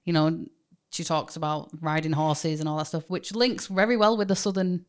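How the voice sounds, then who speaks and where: A woman's voice: low-pitched, moderate pace. A young adult woman from England with a Manchester accent, chatting casually and animatedly as a podcast co-host. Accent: Manchester English. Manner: animated, chatty, laughs often. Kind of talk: casual chat.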